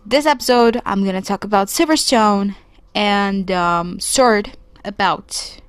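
A young woman from Brazil speaks English, hosting a podcast alone in an earnest, reflective way. She talks at an unhurried pace with pauses as she searches for words. The recording is dry and close, with no room sound. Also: mid-range voice, smooth, dry recording. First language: Portuguese. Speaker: podcast co-host. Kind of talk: solo podcast commentary.

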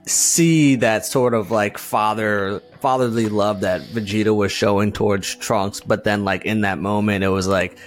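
A man's voice: on the low side, steady tone, unhurried, hardly any pauses, soft background music. A young man chatting casually as a podcast host, retelling things in slangy speech with acted-out quotes. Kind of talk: casual fan chat.

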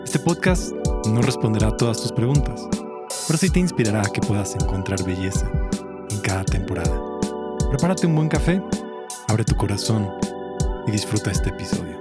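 A man's voice: fairly low pitch, sing-song, normal speed, pauses often. A man narrating a scripted, inspirational podcast intro in an inviting tone. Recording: voice set back over loud hip hop music.

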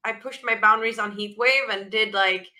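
A woman rock vocalist with a naturally raspy voice, chatting casually in an interview, with lots of fillers and restarts. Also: mid-range voice, steady tone, normal speed, pushed and bright. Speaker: rock band vocalist, guest.

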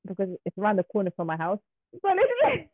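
A woman's voice: medium pitch, huge pitch swings, racing, dry recording. British-accented woman over a tinny call line. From Uganda. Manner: candid, laughs easily. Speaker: musician guest. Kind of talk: casual interview chat. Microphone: video call. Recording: tinny phone-like call audio.

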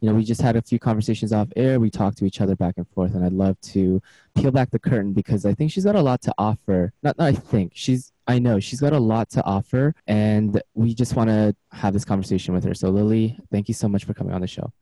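Deep, dark-voiced male podcast host. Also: quick pace, no echo, rough recording. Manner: loose, casual, with restarts. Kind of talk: podcast interview.

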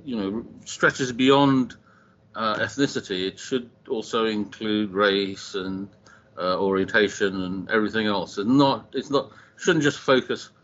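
A fairly low-voiced man making a point in a halting, word-searching way as a panelist. His voice is muffled by video-call audio. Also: a bit bright.

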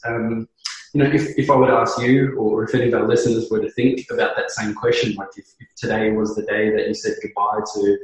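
A man's voice: on the low side, little pitch movement, fairly quick, very echoey, rough recording. A man in his thirties from New Zealand, a business co-founder talking earnestly as an interview guest, with many fillers and restarts. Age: 30s. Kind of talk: conversational podcast interview.

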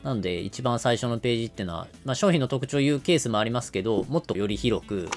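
A man's voice: medium pitch, fast, soft background music. A man explaining things step by step in a business podcast style, using everyday examples. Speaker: podcast host explaining marketing.

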